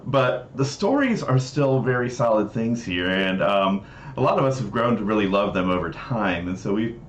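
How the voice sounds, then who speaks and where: A man hosting a livestream, explaining things casually in a halting, hesitant way. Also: fairly low pitch, big pitch swings. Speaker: livestream host. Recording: roomy echo, dull mic, noisy background.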